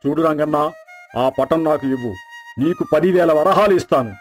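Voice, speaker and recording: A man's voice: fairly high pitch, normal speed, faint music behind, natural voice. A man voicing a greedy, suspicious village landlord, the bullying villain of an acted moral story.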